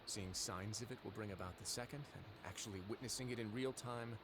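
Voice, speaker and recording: An actor playing a calm, wry duke in a video game, speaking in a measured, composed way. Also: male voice, fairly low pitch, noisy background, natural voice. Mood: grave, composed. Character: calm, wry male duke ruling an underwater prison fortress. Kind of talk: scripted video game dialogue and storytelling.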